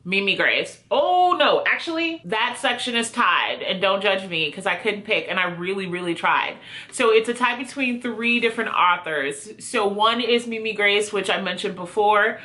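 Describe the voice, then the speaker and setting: A woman's voice: mid-range voice, lively intonation, moderate pace, bright, forceful tone. A woman talking casually to viewers as a book reviewer, chatty and informal with a self-deprecating way. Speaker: book reviewer video host. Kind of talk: casual chat to camera, answering prompts.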